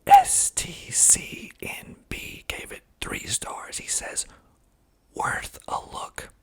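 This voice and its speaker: A man speaking in a hushed, breathy, husky ASMR whisper, reading aloud and commenting in a deliberate way. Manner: slow, deliberate, hushed delivery. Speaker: filmmaker reading reviews of his movie.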